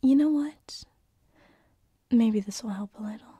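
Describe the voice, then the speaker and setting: A woman playing a gentle, teasing sleep paralysis demon in a whispered ASMR roleplay, close on a binaural microphone, with a breathy, hesitant delivery. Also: a little high, no echo. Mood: sympathetic, soothing. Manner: slow, soothing, hesitant, teasing.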